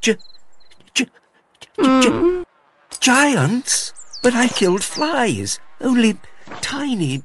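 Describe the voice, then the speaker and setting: A man's voice: very high voice, huge pitch swings, very slow, pauses often, fairly bright tone, a little hiss or hum, pitched above the performer's own voice, exaggerated voice. A man voicing a boastful, eager little boy tailor in a storybook tale. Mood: stammering, shocked. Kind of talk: storybook character voice.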